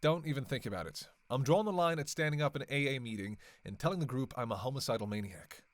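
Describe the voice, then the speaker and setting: A sardonic male vampire, played by a man in an audio roleplay, recorded close and dry. He talks in a casual, flirty, sarcastic way, needling his listener with sweary banter in a mid-pitched voice. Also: animated, fast talker, no echo, natural voice. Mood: teasing. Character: sardonic, flirtatious, long-lived male vampire killer, playfully teasing. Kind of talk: casual roleplay audio acting, one side of a dialogue.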